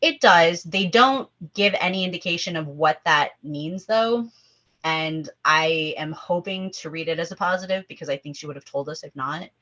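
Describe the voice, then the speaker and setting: Casual panelist, woman, low-pitched, emphatic and opinionated, dull lo-fi recording. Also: normal speed, sharp tone. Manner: emphatic, opinionated, talking in numbered points. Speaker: table read performer. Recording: dull, lo-fi audio.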